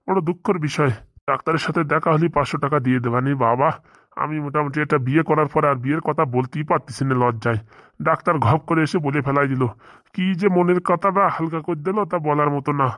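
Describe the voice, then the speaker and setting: Man acting as cartoon village boss, eager, bossy, rapid rambling speech, dry sound. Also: a little high, fairly bright tone, no room sound, lightly acted. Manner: colloquial, rambling, folksy. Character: eager marriage-hungry village gentleman, bossy boss to two helpers. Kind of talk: comic Bengali cartoon dialogue.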